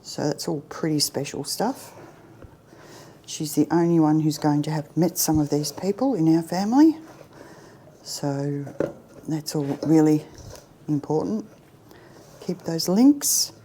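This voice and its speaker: A middle-aged woman chatting her way through a baking tutorial in her home kitchen, self-deprecating and often dropping to a soft near-whisper. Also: low-pitched, animated, a little slow, pauses often, slight background noise. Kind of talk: casual cooking demonstration.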